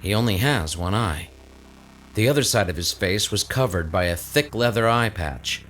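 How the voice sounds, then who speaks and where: A man's voice: lowish voice, expressive, normal speed, a bit bright, a little hiss or hum, low-quality recording. A man reading scripted narration for an audio drama. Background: faint music.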